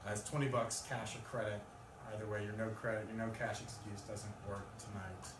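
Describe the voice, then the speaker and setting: A man's voice: fairly low pitch, level tone, fairly quick. A man speaking live at a poetry reading, as a poet presenting his own work. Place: live event in a room with an audience. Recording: distant mic, echoey room, loud noise.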